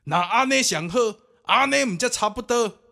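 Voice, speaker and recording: A man voicing an angry temple ghost in a folk tale, loud, stern and accusing. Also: very high-pitched, expressive, moderate pace, creaky, pitched above the performer's own voice, exaggerated voice. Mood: stern, satisfied. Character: angry wronged temple ghost demanding its stolen eyes back. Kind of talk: dramatized ghost-story storytelling.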